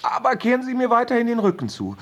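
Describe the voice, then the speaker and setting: Überdrehter, ängstlicher Familienvater, heiser belegt. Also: male voice, extremely high pitch, huge pitch swings, quick pace, a bit bright, exaggerated voice. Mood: nervous, confiding. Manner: agitated, rambling, apologetic. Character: overwrought, anxious family father and businessman, dreamy, claustrophobic. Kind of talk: German radio drama acting.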